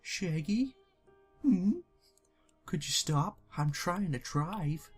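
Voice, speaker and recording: A man's voice: on the high side, expressive, very slow, slightly rough, faint music behind, pitched above the performer's own voice, exaggerated voice. A man voicing an easygoing young male leader of a cartoon gang, plain-spoken. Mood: uneasy.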